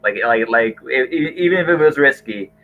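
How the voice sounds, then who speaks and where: A man's voice: mid-range voice, animated, normal speed, soft background music. A man hosting a podcast in casual chat, rambling and often repeating and rephrasing himself. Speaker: podcast host. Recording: thin, phone-like call audio.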